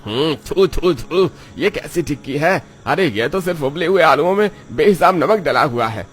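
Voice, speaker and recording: A man voicing an excitable, complaining male street-food customer in a cartoon, exclamatory and indignant. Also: a little high, normal speed, voice pushed up. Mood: disgusted, angry.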